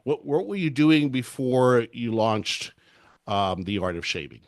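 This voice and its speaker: A man's voice: medium pitch, animated, unhurried, dry recording, studio quality. A man hosting a business interview podcast, friendly and talkative. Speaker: podcast host.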